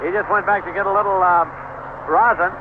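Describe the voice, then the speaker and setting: A man does live baseball commentary for radio in a somewhat high-pitched voice. He describes the game in measured, clipped phrases. The sound is thin and band-limited, with clear noise over it, like an old AM broadcast from the seventies. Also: little pitch movement. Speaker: baseball play-by-play announcer. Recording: noisy, tinny vintage 1970s AM radio broadcast.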